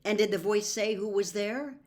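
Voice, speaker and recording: A woman's voice: medium pitch, normal speed, darkish tone, voice pushed up, natural voice. A woman voicing an earnest teenage schoolboy in a storybook, asking blunt, insistent questions. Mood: frustrated, dry.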